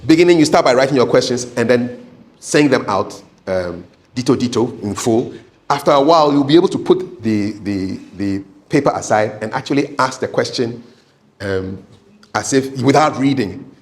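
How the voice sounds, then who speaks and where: A man's voice: mid-range voice, lively intonation, moderate pace. Emphatic male speaking coach. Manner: emphatic, repetitive, checks in with "okay?"; conversational, instructive. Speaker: public speaking coach and trainer. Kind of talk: live training talk with practical tips.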